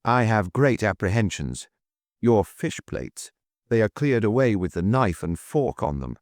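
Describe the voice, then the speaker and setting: A man's voice: on the low side, sing-song, measured pace, no room sound, clean studio sound. A man voicing an anguished foreign hotel proprietor in a storybook, breathless and stammering in broken English. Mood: apologetic dread.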